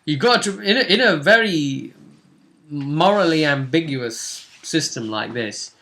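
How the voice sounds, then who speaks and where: A man's voice: fairly high pitch, animated, a little slow, sharp tone. A man acting as game master, casually recapping a tabletop session in a storytelling way.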